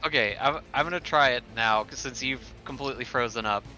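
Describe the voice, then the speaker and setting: A man's voice: mid-range voice, a little fast, low-quality recording. A man chatting casually as a player in a tabletop game. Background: music.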